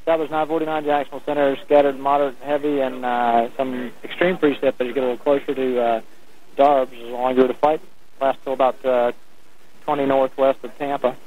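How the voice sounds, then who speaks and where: A man's voice: mid-range voice, little pitch movement, fairly quick. A man working as an air traffic controller, giving clipped procedural instructions over the radio. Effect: radio transmission. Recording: narrow-band, noisy air traffic radio.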